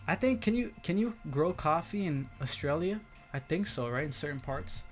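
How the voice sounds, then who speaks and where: A man with an American accent and a slightly high voice hosts a casual livestream. He speaks informally, circling back over his points and often tagging on a quick check-in with his listeners. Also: animated, faint music behind. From Brazil, moved to the United States as a young child. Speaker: livestream host, crypto trader.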